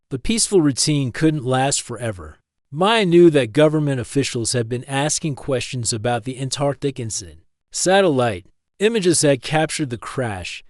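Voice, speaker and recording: A man's voice: mid-range voice, no room sound. Man narrating, dry close sound. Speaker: story narrator. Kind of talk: scripted audiobook-style narration of a sci-fi romance story.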